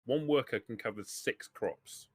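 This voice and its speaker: A man's voice: mid-range voice, a little slow, no room sound, clean studio sound. A man acting as game master, explaining rules and options to players in a tabletop roleplaying game.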